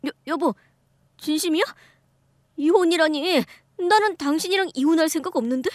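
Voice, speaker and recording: A woman's voice: very high voice, normal speed, no echo, studio quality, voice pushed up. A woman voicing an evasive young husband who pleads and makes excuses. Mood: pleading.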